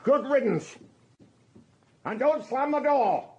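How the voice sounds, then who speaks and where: A man's voice: extremely high pitch, lively intonation, slow pace, muffled recording, background noise, heavily acted. An actor playing a hot-tempered, middle-aged working-class father in a radio drama. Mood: angry, scornful. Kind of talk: radio crime drama acting.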